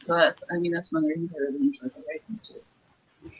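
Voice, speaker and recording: A woman guest reviewer in a casual interview, soft-spoken with giggly laughs. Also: on the low side, steady tone, fast talker, husky, low-quality recording.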